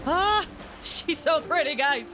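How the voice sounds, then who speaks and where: A woman game streamer with a high, lively voice, chatting casually to her viewers. She is bubbly and expressive, thinking aloud over clear game music. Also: slow. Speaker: game livestreamer. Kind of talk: casual gameplay chat with viewers.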